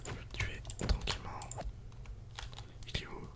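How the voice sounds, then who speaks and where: A man whispers a calm, casual ASMR game commentary in a breathy, unvoiced murmur, close to the microphone. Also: normal speed, heavy background noise. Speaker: ASMR gaming video creator.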